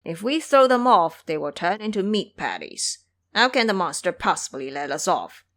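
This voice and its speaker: A woman's voice: on the low side, big pitch swings, moderate pace, fairly bright tone. Woman playing a grumbling, chattering pig disciple. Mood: complaining. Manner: chattering, complaining.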